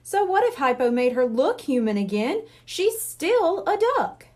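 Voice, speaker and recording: A woman's voice: high-pitched, very animated, a little slow, darkish tone. A woman reading a storybook as a worried, exasperated young boy who speaks emphatically and in disbelief. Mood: worried, urgent. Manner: emphatic, incredulous.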